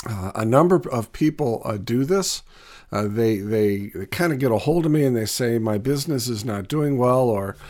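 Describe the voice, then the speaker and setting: A man with a raspy, hoarse voice from a recent cold, teaching a conversational video lesson on Bible passages for business owners, with frequent fillers and restarts. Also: mid-range voice, a little mellow.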